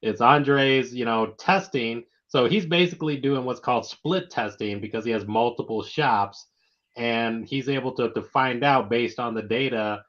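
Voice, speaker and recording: A man hosting a casual interview, speaking informally and haltingly, often repeating his words. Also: mid-range voice, a bit bright. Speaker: interviewer, show host. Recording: dull lo-fi online audio.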